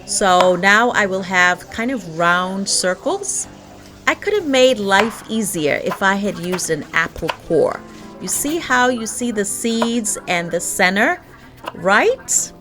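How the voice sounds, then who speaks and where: A woman's voice: medium pitch, expressive, takes it slowly, over music. A woman vlogger chatting casually through a baking tutorial in her home kitchen, laughing often between steps.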